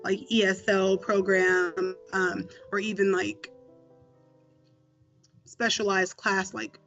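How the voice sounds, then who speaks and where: High-pitched male school mentor, conversational with fillers, slightly dull recording, faint music beneath. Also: little pitch movement, takes it slowly, bright, forceful tone. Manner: conversational, many fillers and restarts. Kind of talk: interview answers.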